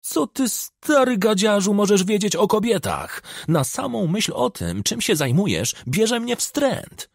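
Man acting hot-headed scornful official, raised pitch. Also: big pitch swings, measured pace, vocal fry, hardly any pauses, husky, no echo, studio quality, heavily acted. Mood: disgusted. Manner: indignant, insulting, defiant. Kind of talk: audiobook character acting.

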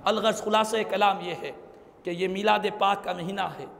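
A man's voice: sits high, fairly even intonation, normal speed, gravelly, big-room sound, a crowd in the background. A man, delivering an emphatic, declamatory religious sermon with Arabic quotations. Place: small indoor prayer hall, seated before an audience. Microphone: stand microphone and clipped lapel mic.

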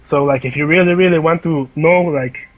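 Man, Salvadoran Spanish accent, higher-pitched, raspy, casual esports co-caster, searching for words. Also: moderate pace, lo-fi sound. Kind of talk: casual chat on a live stream. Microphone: online voice call.

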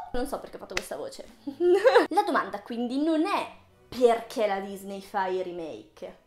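High-voiced young woman, roomy sound. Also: a little mellow. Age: early 20s. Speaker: video creator, host. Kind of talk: casual video essay to viewers. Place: small room with bookshelves, indoors. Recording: roomy indoor echo.

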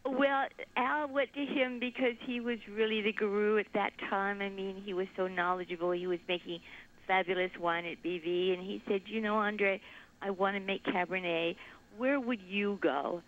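An older woman, a winery owner, speaking haltingly with many pauses and fillers as an interview guest. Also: medium pitch, fairly even intonation, lo-fi sound. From Southern California.

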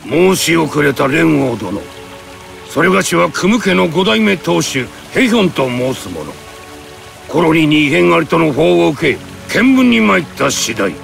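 An actor voicing a grave, dignified old clan head with a gravelly voice and archaic, formal speech. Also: male voice, on the high side, very animated, a bit bright, exaggerated voice. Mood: solemn, courteous. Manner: archaic, formal, slow and weighty. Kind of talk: Japanese anime fighting game acting. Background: background music.

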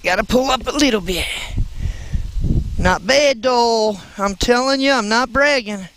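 A man's voice: very high-pitched, a little slow, a bit bright, slight background noise, low-quality recording. An older man who drives trucks, chatting casually to his viewers from inside his cab through a headset while he backs into a loading dock. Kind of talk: casual running commentary while driving.

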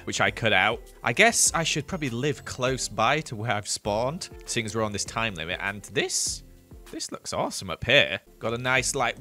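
A young man commentates his gameplay in a chatty, jokey way, breaking into excited bursts. His voice is bright and swings widely in pitch. Faint music plays under the voice-over. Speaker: gaming youtuber. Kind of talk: playthrough commentary.

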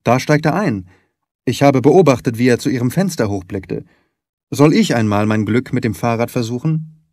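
Man acting loyal gentleman sidekick, earnest, polite, plain-spoken, audiobook, medium pitch. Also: expressive, moderate pace, dry recording, studio quality, lightly acted. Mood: eager. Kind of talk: audiobook character reading.

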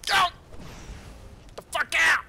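A man giving casual let's-play game commentary in an excitable, foul-mouthed manner. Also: takes it slowly, background noise. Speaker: gamer playing and commentating.

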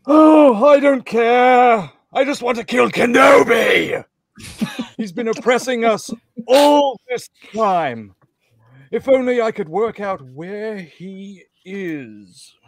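Man playing high-pitched theatrical alien villain. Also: takes it slowly, gravelly, dry recording, heavily acted. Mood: brooding, vengeful. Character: Maul, theatrical vengeful male horned alien villain. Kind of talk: comedic live script table read. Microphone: home video call.

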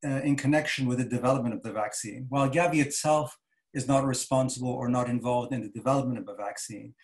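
An older man speaks from a study at home over a video call, laying out a financial mechanism in a measured, deliberate way. His pitch sits in the middle range, and he often repeats short words while he builds his argument. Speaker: chair of a development finance board, interviewee. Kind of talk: measured expert explanation.

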